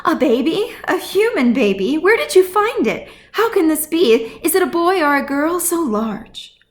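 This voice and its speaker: A woman voicing excitable, nosy dwarf village widows in a fantasy audiobook, questioning eagerly and breathlessly. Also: very high voice, a little slow, voice pushed up, exaggerated voice. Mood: amazed, excited. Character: excitable, inquisitive gaggle of female dwarf villagers. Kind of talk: audiobook character acting.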